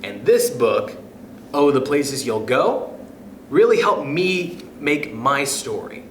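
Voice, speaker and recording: A young man reading a picture book aloud to children, gentle and animated, with soft chuckles and friendly asides. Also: mid-range voice, slow pace, roomy sound, a little hiss or hum. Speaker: youth club storyteller.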